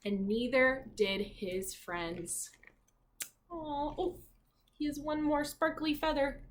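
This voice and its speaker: Woman teacher, storybook read-aloud: slow delivery, raised pitch, expressive, with simple questions to listeners. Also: a little hiss or hum. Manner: expressive reading, pausing to ask simple questions.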